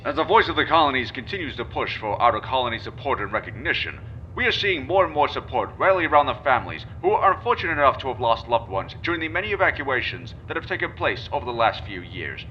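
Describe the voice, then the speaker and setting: Man acting as a fast-talking, serious news reporter. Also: sing-song, lightly acted. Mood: serious, brisk. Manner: formal newsreader delivery. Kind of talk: scripted news broadcast. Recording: dull radio broadcast, faint rumble.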